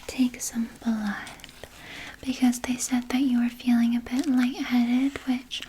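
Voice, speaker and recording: A woman playing a doting, quietly sinister vampire nurse in a gentle, breathy ASMR whisper, close to the microphone. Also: fairly high pitch, a little hiss or hum, close to an everyday voice, low-quality recording. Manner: slow, gentle, hushed, coaxing with veiled menace. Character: soft-spoken female vampire nurse, doting, politely sinister. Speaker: ASMR performer. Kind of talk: whispered ASMR personal-attention roleplay.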